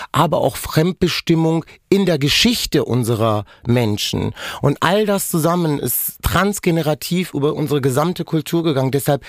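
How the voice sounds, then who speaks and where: A man's voice: a little high, no echo, studio quality. A man in his forties, a native Romani speaker, speaking as a podcast guest and giving an impassioned, emphatic personal account in long, building sentences. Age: 40s. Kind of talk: personal testimony in conversation.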